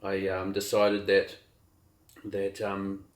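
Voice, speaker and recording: A middle-aged man speaks as an interview guest, low in pitch and unhurried, stopping and restarting his thoughts with plenty of fillers. The sound is roomy and echoey. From New Zealand. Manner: relaxed, hesitant, many fillers and restarts. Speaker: guest, sailing captain. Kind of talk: casual interview chat. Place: outdoors on a trip.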